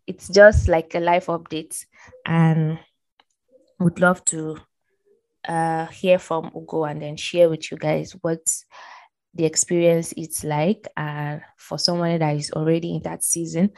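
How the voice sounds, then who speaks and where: Chatty woman with a low voice. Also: no room sound, poor audio. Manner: chatty, laughs loudly. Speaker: podcast host. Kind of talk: casual chat.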